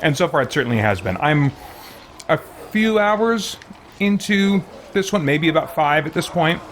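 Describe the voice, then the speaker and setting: A man's voice: fairly high pitch, expressive, moderate pace, fairly bright tone, a little hiss or hum. A man hosting a game livestream in casual chat, rambling and thinking aloud as he goes. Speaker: live streamer hosting a game playthrough.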